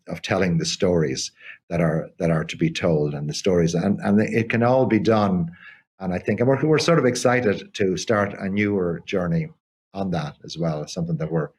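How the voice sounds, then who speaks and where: An older man, a theatre company co-founder, talking in an interview in a halting way with many repeated restarts. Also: low voice, a little mellow, husky. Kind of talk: conversational interview.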